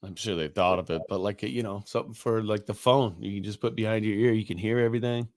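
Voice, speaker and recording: A man's voice: on the low side, steady tone, fast, a little mellow, no echo, studio quality. A man co-hosting a morning show, teasing his partner and laughing often in casual banter.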